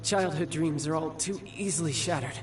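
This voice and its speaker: An actor playing an injured, cocky young warrior who teases smoothly and speaks fondly of family. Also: male voice, fairly high pitch, moderate pace, close to an everyday voice. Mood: wry, weary. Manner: smooth, playful, teasing. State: weakened, injured. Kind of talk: video game cutscene voice acting. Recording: slightly distant, music behind.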